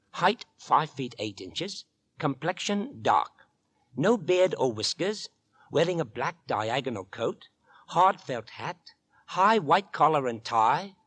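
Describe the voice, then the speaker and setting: Earnest, husky London policeman. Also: medium pitch, fairly bright tone, exaggerated voice. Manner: folksy, deliberate storytelling. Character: earnest, chatty working-class London policeman and suitor. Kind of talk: 1940s radio acting. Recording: vintage 1940s radio recording.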